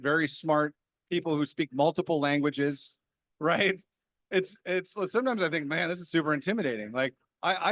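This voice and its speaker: A man with a slightly high voice talks as a conference panelist in a dry, close sound. He is informal and candid, with a self-deprecating, conversational way of answering. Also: fairly bright tone, no room sound. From small town, grew up there. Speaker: panelist, experienced software developer.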